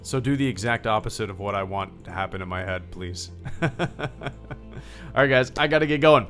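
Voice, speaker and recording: A man in his thirties with a fairly low voice talks loosely to his livestream audience, drifting between thoughts with plenty of "uh"s and restarts. Faint music plays softly behind him. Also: moderate pace. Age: 30s. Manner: rambling, full of fillers and restarts; reads out viewer thank-yous. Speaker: livestream host. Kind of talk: casual livestream chat.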